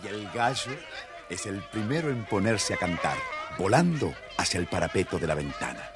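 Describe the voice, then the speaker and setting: A man's voice: low-pitched, big pitch swings, moderate pace, crowd noise. A man narrating a children's story playfully, addressing young listeners as storyteller.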